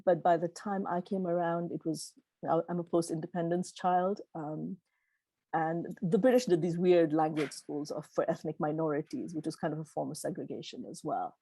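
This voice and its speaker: Mellow-voiced woman, Gujarati native speaker. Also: sits low, moderate pace, breathy edge, no echo, rough recording. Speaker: keynote speaker, literary publisher. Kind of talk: informal question-and-answer talk.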